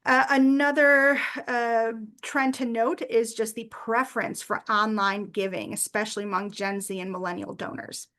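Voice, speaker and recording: Brief: woman presenter, higher-pitched, earnest, many "uh"s. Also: fairly even intonation, moderate pace, few pauses. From United States. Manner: earnest, warm storytelling with frequent "uh" fillers. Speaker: workshop presenter. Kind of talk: presentation with personal story.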